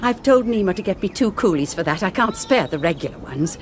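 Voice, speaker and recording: A woman's voice: mid-range voice, expressive, brisk, a little hiss or hum, lightly acted. An actress playing a stubborn nun who insists on her garden schemes. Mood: insistent. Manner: insistent, pleading.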